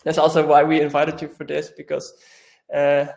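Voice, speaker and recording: A man co-hosting a webinar, introducing the guest in a halting, repetitive way. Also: fairly high pitch, monotone, creaky, a little mellow.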